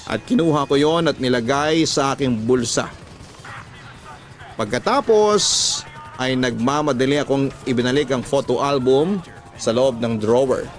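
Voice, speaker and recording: A man's voice: mid-range voice, a little slow. A man with a Filipino accent reading a first-person story as scripted, confiding radio drama narration. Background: background music.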